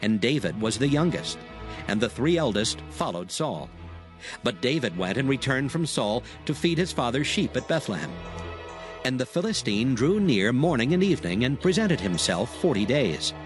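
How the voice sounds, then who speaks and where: A man's voice: mid-range voice, big pitch swings, normal speed, soft background music. A man narrating scripture as a scripted dramatic audiobook.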